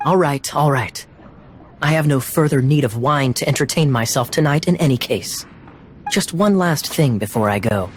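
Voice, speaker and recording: A man's voice: mid-range voice, normal speed, a little hiss or hum, natural voice. A man playing a suave, teasing young knight in a video game, with a smug and playful theatrical delivery.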